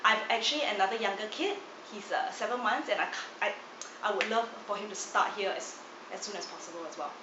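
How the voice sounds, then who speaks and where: Earnest mother, mid-pitched, testimonial delivery, dull and roomy sound. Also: fast talker. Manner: earnest, with fillers and restarts. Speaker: parent. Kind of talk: casual testimonial. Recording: echoey dull recording, ambient noise.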